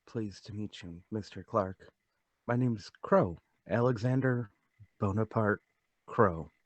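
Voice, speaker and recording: A man playing a timid, polite, secretly excited lodger in a radio-play table read, speaking hesitantly and repeating himself. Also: on the low side, unhurried, no room sound. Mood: meek, formal.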